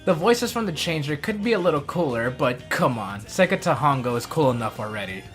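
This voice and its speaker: A man's voice: fairly high pitch, expressive, fairly quick, fairly bright tone, faint music behind. A man giving scripted countdown commentary as a host, with wry, jokey asides. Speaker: host of a countdown review video.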